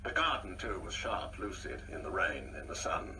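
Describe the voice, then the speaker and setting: A man's voice: deep voice, sing-song, normal speed, pushed and bright, recorded from a distance, slight background noise, heavily acted. An actor playing a proud, insecure older man in a radio play, speaking in a pompous, precise, theatrical way. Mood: proud reminiscence. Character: proud, insecure ageing man, bitterly reminiscing and taunting.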